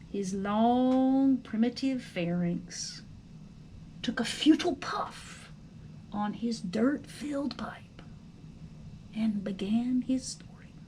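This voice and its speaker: A woman reading a storybook aloud with deliberate, dramatic expression, like a teacher reading to students. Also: fairly high pitch, warm tone, slight background noise, poor audio.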